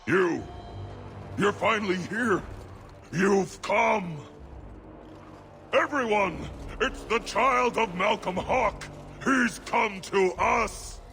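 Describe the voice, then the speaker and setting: A man playing a crazed dwarf cultist in a video game, gravelly and fervent, raving as if in thrall to a master. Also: high-pitched, very slow, long pauses, sharp tone, faint music behind, heavily acted. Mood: ecstatic. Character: crazed male dwarf cultist, fanatical, entranced by his master. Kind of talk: video game villain acting.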